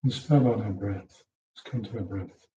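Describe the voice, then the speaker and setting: A man leading a guided meditation as a teacher, giving calm, unhurried instructions in short, soft phrases. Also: lowish voice. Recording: muffled, echoey room, distant mic.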